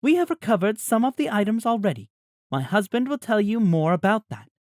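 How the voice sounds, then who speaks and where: A man voicing a formal, composed mother in an audiobook, speaking in an even, official manner. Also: mid-range voice, fairly quick, dry recording, studio quality, pitched above the performer's own voice, close to an everyday voice. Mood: formal, firm. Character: formal, composed mother addressing wronged neighbors. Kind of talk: audiobook character voice.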